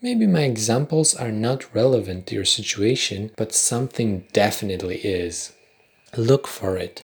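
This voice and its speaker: A young man from Poland with a Polish accent, hosting a podcast in a measured, scripted reflective style with dry understated humour. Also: fairly low pitch, expressive, slightly rough. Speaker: podcast host. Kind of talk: scripted reflective narration with commentary.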